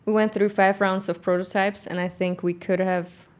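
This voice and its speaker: A middle-aged woman with a non-native English accent, a company founder in a casual interview, talking thoughtfully with many pauses. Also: medium pitch, level tone. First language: not English; grew up in Kazakhstan, speaks Russian. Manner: thoughtful, frequent "um" pauses, light laughter. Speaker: guest, founder of a workwear boot company.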